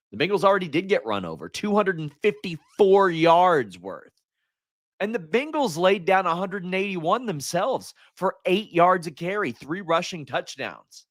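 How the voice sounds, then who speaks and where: A hoarse man hosts a solo sports stream and vents about his team like a fed-up fan. His voice sits at an ordinary middle pitch, and he stumbles and restarts when he gets worked up. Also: fairly bright tone. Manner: frustrated ranting fan, restarts mid-sentence. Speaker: host.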